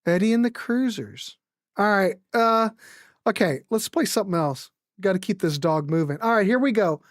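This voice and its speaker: A middle-aged or older woman hosting a music show, chatting casually and commenting in an animated, rambling, informal way. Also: mid-range voice, moderate pace, no room sound, studio quality.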